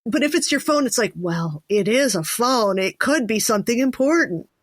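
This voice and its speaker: A woman's voice: on the high side, animated, normal speed, no echo, clean studio sound. A woman hosting a podcast in casual conversation, informally thinking aloud and acting out little examples. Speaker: podcast host.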